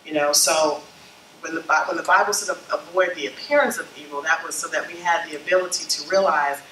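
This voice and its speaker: A woman's voice: low voice, fairly even intonation, a little fast, fairly bright tone, roomy sound, distant mic. A woman with a slightly scratchy voice from a summer flu, giving a workshop talk to a small group and often checking in with "okay?" and "you know?". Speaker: branding consultant speaking to church leaders. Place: meeting room with a small group.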